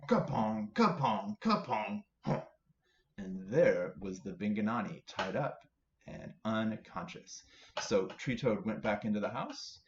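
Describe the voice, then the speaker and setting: A man's voice: lowish voice, lively intonation, takes it slowly. Man telling a children's story, roomy recording. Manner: repetitive, playful emphasis with sound words. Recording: roomy, slightly dull recording with faint noise.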